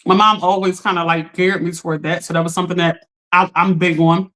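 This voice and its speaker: Woman, livestream host, chatty, talks to chat, voice recovering from being lost. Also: a little fast. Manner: chatty, talks straight to chat, animated pitch jumps.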